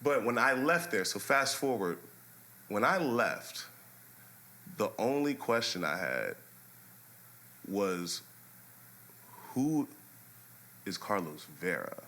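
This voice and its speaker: A man hosting a podcast, telling stories in an animated way and filling with "you know what I mean". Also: on the low side, takes it slowly, pauses often. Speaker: podcast host. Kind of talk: casual interview chat.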